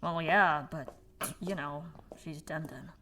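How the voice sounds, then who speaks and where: This is a worn-out young survivor in an audio drama, voiced by a woman. The voice sits fairly low and sounds tired and sighing. Faint clicks and noise sit behind it. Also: slow, a bit bright. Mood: weary.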